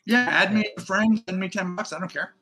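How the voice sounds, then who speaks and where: A man's voice: high-pitched, quick pace, bright, forceful tone. A man hosting a podcast, joking and reading out a listener's message in casual chat. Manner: joking, self-deprecating. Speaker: podcast host.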